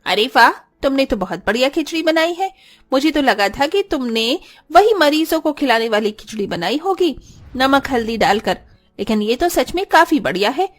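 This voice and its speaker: A woman playing a friendly, chatty visitor in a Hindi cartoon story, with an animated way of talking. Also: high voice, moderate pace. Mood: delighted. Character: friendly, chatty female visitor in a village household.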